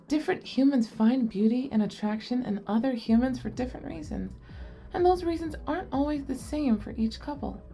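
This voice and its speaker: A woman's voice: high voice, a little mellow. A woman playing a shy, curious, nerdy young goblin girl in an ASMR roleplay, speaking earnestly and expressively to the listener. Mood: curious, excited.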